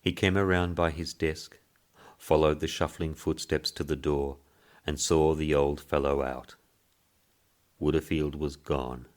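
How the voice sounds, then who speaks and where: A man narrating a literary short story in a measured, deliberate way. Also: a bass voice, fairly even intonation, a little mellow. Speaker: story reader.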